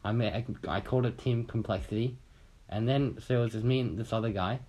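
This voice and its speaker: A man's voice: medium pitch, fairly even intonation, fast talker, warm tone. A young man from Australia telling a long, rambling story in casual chat on a podcast, swearing casually and acting out what people said.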